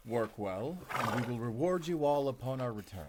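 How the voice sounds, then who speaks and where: A man's voice: medium pitch, lively intonation, moderate pace, heavy background noise, close to an everyday voice. A man acting a curt, commanding nobleman in a fantasy audio drama, formal and authoritative. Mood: stern.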